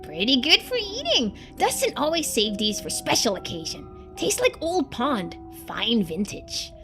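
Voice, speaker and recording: A woman's voice: on the high side, very animated, measured pace, over music, voice pushed up. A woman voicing a small talking rodent in a video game, speaking in broken, childlike third-person grammar, proud of simple treats. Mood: pleased, savoring.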